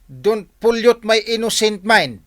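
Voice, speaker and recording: A man's voice: sits high, expressive, takes it slowly. A man with an Ilocano accent playing a flustered, defensive village captain and husband in a comedy radio drama. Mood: indignant.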